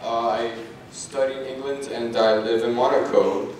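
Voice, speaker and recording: Young guy from russia pitching a product, far from the mic, big echoey room. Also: fairly even intonation, normal speed, darkish tone, slight background noise. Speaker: student presenter. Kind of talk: pitch presentation at a camp.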